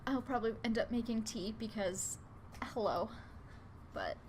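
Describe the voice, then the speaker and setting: A woman's voice: on the high side, animated, moderate pace, a little mellow, a little hiss or hum. A woman with a cold, sounding a little nasal, chatting casually and rambling with fillers as she hosts a live drawing stream from home. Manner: easygoing, rambling, lots of filler words. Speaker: live-stream artist host. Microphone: open desk microphone.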